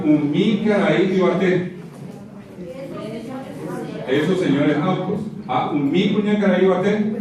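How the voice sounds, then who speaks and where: A man with a slightly high voice teaches a language in a very echoey hall, far from the microphone. He is didactic, repeating example words and checking in with his listeners. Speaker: lecturer teaching Guaraní. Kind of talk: interactive language lecture with spoken examples. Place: university auditorium. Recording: very echoey hall, distant mic, audience noise.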